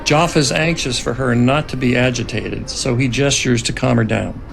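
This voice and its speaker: A man with a gravelly voice reading scene directions as narrator of a dramatic script reading. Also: fairly even intonation, normal speed. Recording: noticeable background rumble.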